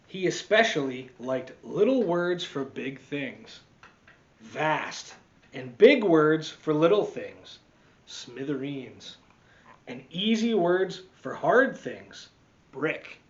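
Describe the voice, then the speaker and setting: A man reading a picture book aloud to children as a teacher, expressively, with dramatic swings and deliberate pauses. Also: on the high side, big pitch swings, muffled recording. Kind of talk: animated children's storytime read-aloud.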